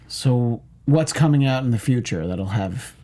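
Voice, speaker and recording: A man's voice: mid-range voice, animated, measured pace, mellow. A man chatting casually as a panelist, with dry, wry joking. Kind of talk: casual chat.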